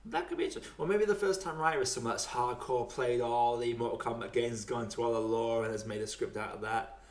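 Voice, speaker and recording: A British man hosts a relaxed film podcast in an informal, chatty way. His voice sits at an average male pitch. The room adds a clear echo around his words. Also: fast. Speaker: podcast host. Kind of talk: casual film podcast chat. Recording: roomy echo.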